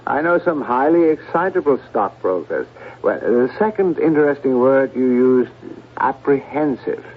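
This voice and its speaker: An actor playing a skeptical psychiatrist in a radio drama, questioning in a pointed, measured manner. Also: male voice, medium pitch, animated. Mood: probing, analytical. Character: probing, skeptical male psychiatrist. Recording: muffled old radio broadcast.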